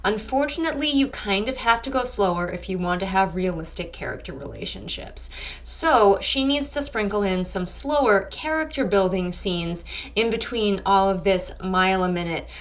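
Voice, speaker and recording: A woman's voice: medium pitch, animated, moderate pace. A woman giving casual, comedic book commentary as host, with an exasperated, sarcastic and emphatic way of talking. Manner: acts out characters in mocking asides. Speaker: host of a book readalong vlog.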